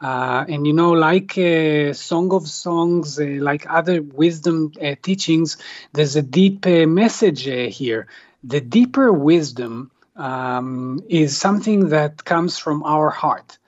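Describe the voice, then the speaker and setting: A man whose first language is Hebrew speaks slowly and with many pauses. He fills his reflective answers with ums and uhs. The recording is dry and dull, like lo-fi audio. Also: fairly high pitch, no echo. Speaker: translator, guest. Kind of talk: hesitant interview answers.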